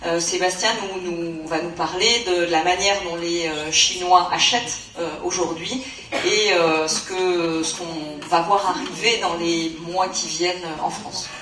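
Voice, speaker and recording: A woman moderates a conference panel, introducing speakers with frequent fillers. Her voice is on the low side and sounds distant. It carries the reverb of a large room with faint noise. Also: fairly even intonation, a little slow, a bit bright. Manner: hesitant, many fillers. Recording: echoey hall, mic from far off.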